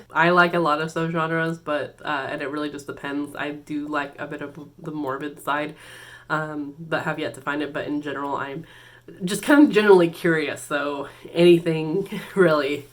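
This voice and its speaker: A woman with a somewhat low voice talks through a casual book-tag video on her own channel. She is getting over a cold, so she sounds congested and a little nasal. She speaks in a loose, hesitant way, full of fillers and false starts. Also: fairly even intonation, moderate pace. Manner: chatty and hesitant, many fillers and restarts. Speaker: BookTube channel host.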